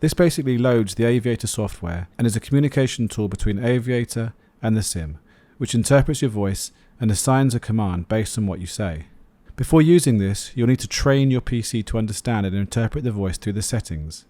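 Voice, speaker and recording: A man's voice: fairly low pitch, fairly quick, few pauses, mellow, dry recording. A man narrating a scripted product review as the host of a video.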